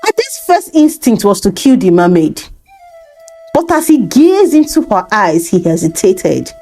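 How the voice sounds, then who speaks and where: A woman tells a scripted folktale in a mellow voice with very lively pitch. She takes her time over each phrase as a storyteller would. Faint music plays behind her. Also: a little slow.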